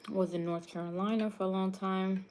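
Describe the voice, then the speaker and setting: A woman's voice: medium pitch, fairly even intonation, fairly quick, darkish tone. A woman from New York hosting a live cooking stream, chatting casually with viewers and laughing often. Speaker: cooking show host.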